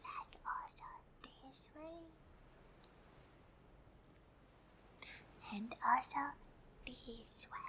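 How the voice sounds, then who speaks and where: A woman voicing a gentle, polite female alien for an ASMR roleplay, in a soft breathy whisper with slow, hesitant, stilted English. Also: sits high, heavily acted. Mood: gentle, encouraging. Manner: slow, deliberate, hesitant, refers to herself in third person.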